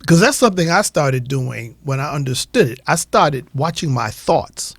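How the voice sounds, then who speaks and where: A man talking reflectively on a radio show, explaining his points in a measured way. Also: on the high side, lively intonation, creaky, a bit bright, no room sound.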